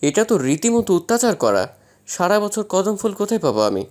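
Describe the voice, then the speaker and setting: A man's voice: high voice, big pitch swings, fast talker, darkish tone. A man playing a tired, bemused new husband in a romantic audio story, hesitant and indulgent as he mildly protests. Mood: mock protest.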